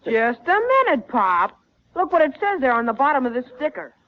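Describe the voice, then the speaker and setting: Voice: high-pitched, sing-song, brisk. A performer playing an eager, cheeky young boy, the son in a muffled 1940s radio family comedy. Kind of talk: 1940s radio sitcom acting.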